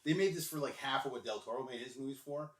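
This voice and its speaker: A man co-hosting a podcast, giving blunt, opinionated takes in casual chat. His voice sits at a middle pitch. The room echoes around him, and he is set back from the microphone. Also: quick pace. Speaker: podcast co-host. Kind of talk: casual chat about movies. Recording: roomy echo, mic distant.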